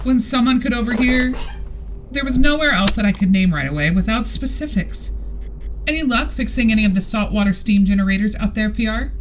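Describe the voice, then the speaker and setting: Woman playing a high-voiced, sardonic space bounty hunter. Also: lively intonation, fairly quick, noisy background, natural voice. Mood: wry. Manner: teasing, wry. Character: feared female bounty hunter, sardonic and self-assured. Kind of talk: scripted sci-fi audio drama.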